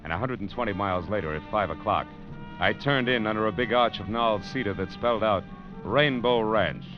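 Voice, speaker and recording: A man's voice: fairly low pitch, fairly quick, natural voice. An American actor playing a world-weary, wisecracking male private detective in a hard-boiled, sardonic old radio drama style. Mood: wry, world-weary. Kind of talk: 1940s-50s American radio detective drama acting and narration. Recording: dull vintage radio recording, loud music behind.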